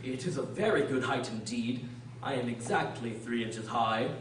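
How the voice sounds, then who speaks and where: A man's voice: medium pitch, normal speed, natural voice. A man playing a curt, contrary caterpillar in a radio drama, speaking in a terse, pompous manner. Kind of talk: amateur radio drama acting. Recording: echoey room, distant mic, noisy.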